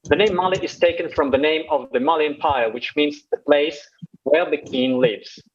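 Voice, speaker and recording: Male co-host, reads the intro carefully, slightly high, lo-fi sound. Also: husky. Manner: reading from a script, careful and measured. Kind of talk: read-aloud introduction.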